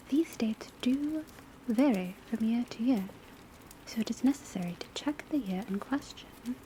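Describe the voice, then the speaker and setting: A woman playing a witch academy professor, lecturing in a soft, breathy ASMR voice, often whispered, in a measured and formal way. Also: fairly high pitch. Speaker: teacher. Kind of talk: ASMR classroom roleplay lecture. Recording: steady rain and crackling fire noise. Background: rain on rooftop, fireplace, pencil writing.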